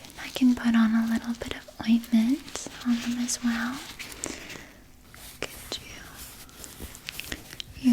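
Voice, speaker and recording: A woman playing a vampire nurse in an ASMR roleplay, whispering breathily and coddling the listener with quiet menace. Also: fairly high pitch, low-quality recording. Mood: soothing, doting. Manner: slow, soothing, coddling with veiled threat. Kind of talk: ASMR roleplay, personal attention.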